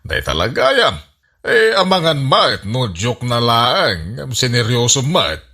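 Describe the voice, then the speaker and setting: A man's voice: medium pitch, big pitch swings, takes it slowly, gravelly, bright, forceful tone, heavily acted. A man acting a fretful father who cautions his grown child in an Ilocano radio comedy-drama, exclaiming in an Ilocano Filipino accent. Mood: worried, cautioning.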